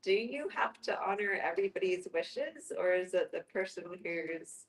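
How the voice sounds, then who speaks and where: A woman's voice: mid-range voice, animated, moderate pace. A woman hosting a casual group discussion. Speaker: discussion host. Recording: echoey room.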